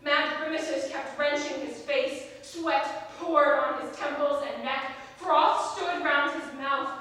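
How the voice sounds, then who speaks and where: A woman acts a distraught messenger from a royal house in ancient Greek tragedy. The voice is high and bright, intense and declamatory. It carries from far off across a heavily echoing stage hall. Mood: mounting horror. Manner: intense, declamatory, vividly dramatic. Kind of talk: theatrical monologue, classical tragedy stage acting. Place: college theatre stage, distant microphone.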